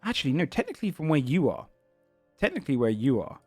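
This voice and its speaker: A man's voice: on the high side, huge pitch swings, fast talker, a little mellow, breathy edge, no room sound, soft background music. A man narrating a tabletop game as game master, describing the scene in a searching, descriptive way.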